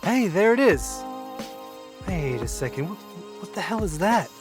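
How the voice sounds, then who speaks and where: Actor as troubled brother, higher-voiced man, halting. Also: sing-song, music in the background. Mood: shocked, disbelieving. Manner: halting, repeats words, swears. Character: troubled, warm-hearted brother, adult man, shaken and disbelieving. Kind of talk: naturalistic audio drama dialogue.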